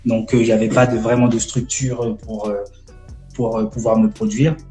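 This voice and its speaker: Gospel rapper in his twenties from French Guiana, mellow tone, echoey room, halting delivery. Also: on the low side, flat delivery, faint music behind. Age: 20s. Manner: halting, many fillers and restarts. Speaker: gospel rap artist guest. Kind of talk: casual live-stream interview.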